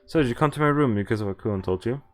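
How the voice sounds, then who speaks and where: A man's voice: medium pitch, rapid-fire, soft background music. A man streaming a visual novel, reading its narration aloud and breaking off for wry comments, swearing and laughing.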